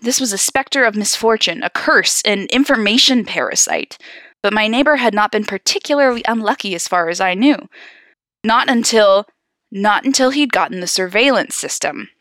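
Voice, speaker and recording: A woman's voice: medium pitch, moderate pace, no room sound. A woman podcast host reading horror stories aloud, with casual, amused asides. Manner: giggly, animated in asides.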